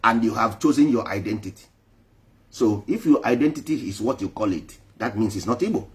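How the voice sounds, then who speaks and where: A man preaching emphatically to a live online audience, repeating his points for force. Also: on the low side. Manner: emphatic, repetitive, switching between English and Igbo. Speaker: traditional spiritual speaker.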